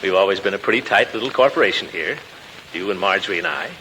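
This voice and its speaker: An actor playing a pompous, fond, flustered middle-aged uncle in a blustery, hesitant 1940s radio comedy style. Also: male voice, sits low, animated, fast, sharp tone. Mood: fond, fatherly. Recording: noisy 1940s radio recording.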